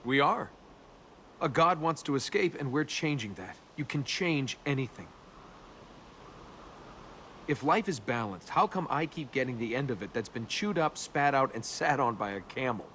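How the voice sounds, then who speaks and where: A roguish young tomb robber from a video game, played by a male actor. He talks casually and wryly, guarded and cynical, in a medium-pitched voice. Game ambience runs noticeably behind him. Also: lively intonation, normal speed, fairly bright tone, a little hiss or hum, lightly acted. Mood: defiant. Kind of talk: naturalistic video game dialogue.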